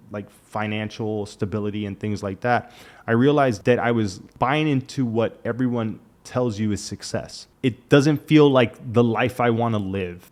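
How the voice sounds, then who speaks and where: A man in his late thirties talking frankly to camera in a casual, reflective monologue, with lots of "like" in his speech. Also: medium pitch. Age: 30s. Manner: frank and conversational, peppered with "like". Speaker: vlogger.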